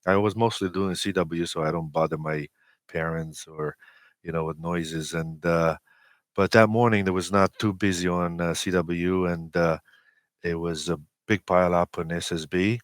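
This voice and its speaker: A man in his forties with a Romanian accent, a native Romanian speaker, telling personal stories as an interview guest in a halting, hesitant way with many pauses. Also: deep voice, fairly even intonation, no room sound, studio quality. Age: 40s. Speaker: interview guest, amateur radio operator.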